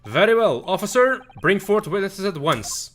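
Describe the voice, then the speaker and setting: A man voicing a formal, commanding judge in a video game, giving measured orders to the court. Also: high voice, big pitch swings, fairly bright tone, voice pushed up.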